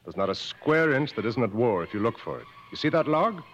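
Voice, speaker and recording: World-weary jungle guide, widely swinging delivery. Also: male voice, mid-range voice, very animated, fairly quick, a bit bright. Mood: knowing, instructive. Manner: knowing, didactic, dryly fatalistic. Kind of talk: mid-century American radio drama acting. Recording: dull vintage radio recording, faint noise.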